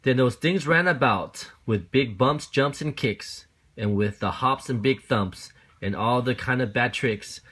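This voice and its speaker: A man's voice: mid-range voice, a little slow, a bit bright, slightly rough. Man reading a rhyming picture book aloud. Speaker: parent reading to his child. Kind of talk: expressive children's picture-book read-aloud.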